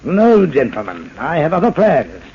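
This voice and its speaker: A man acting a proud, principled older inventor in 1940s radio drama, husky and firm. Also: a little high, huge pitch swings, moderate pace, a little mellow, full character voice. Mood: firm, indignant. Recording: dull old radio broadcast.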